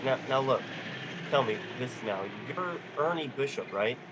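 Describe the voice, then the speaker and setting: A man's voice: medium pitch, lively intonation, normal speed, dull audio, background noise, lightly acted. A man acting a desperate, stubborn family man in an amateur radio play. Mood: confused.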